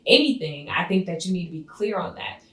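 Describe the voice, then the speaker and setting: A woman's voice: lowish voice, expressive, a little fast. A woman in her thirties from Ohio, an entrepreneur guest talking casually in an interview. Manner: conversational, says "you know what I'm saying". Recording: roomy, slightly distant mic.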